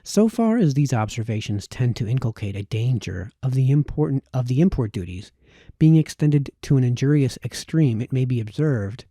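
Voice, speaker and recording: A man reading a formal historical text aloud in audiobook style, with measured phrasing and the occasional stumble. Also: mid-range voice, sing-song, dark tone, dry recording, studio quality. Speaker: amateur reader of historical texts.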